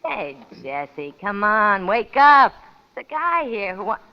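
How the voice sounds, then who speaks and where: Casting: hard-bitten, slangy gun moll for vintage radio noir, brassy and defiant, lo-fi sound. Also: female voice, medium pitch, sing-song, slow pace, clear, resonant tone. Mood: impatient, teasing. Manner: sassy, slangy street-tough talk. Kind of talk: old-time radio crime drama acting. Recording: muffled, lightly noisy old radio recording.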